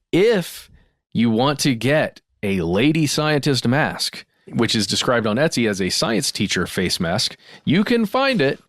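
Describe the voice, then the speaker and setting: Laid out casual podcast guy, loose chatty phrasing, animated pitch, dry sound. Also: dry recording. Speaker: podcast co-host. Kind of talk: casual chat.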